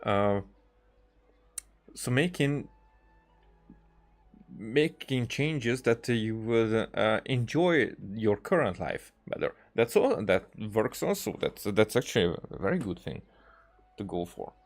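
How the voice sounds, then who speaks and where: A man giving casual let's-play commentary, rambling and often halting as he searches for his words. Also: on the low side, animated. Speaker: game streamer, commentator. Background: faint game music.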